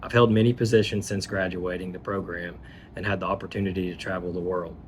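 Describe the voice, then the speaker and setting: A man in his thirties from Kentucky, a safety manager giving a measured, scripted testimonial about his career. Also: sits low, level tone, slight background noise. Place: manufacturing plant.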